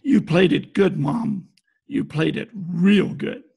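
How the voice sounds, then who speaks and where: A man playing a wisecracking, slangy, tough-talking private detective in 1940s radio drama style, with a gravelly voice. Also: a little high, slow pace, a little mellow, full character voice. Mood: gentle. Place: performer's home, recorded remotely. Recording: home video-call recording with music behind.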